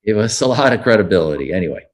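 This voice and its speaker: A man's voice: on the low side, very animated, very fast. An older man, recounting his childhood in an oral history interview, with frequent fillers and restarts. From United States.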